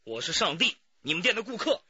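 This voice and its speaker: A pushy, commanding customer in a radio drama. His voice is very raspy and sharp, coming at high speed with indignant force. The sound is slightly muffled. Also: very animated, fast talker, no echo, heavily acted. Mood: indignant, bossy. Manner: brusque, commanding. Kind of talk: Chinese radio drama acting.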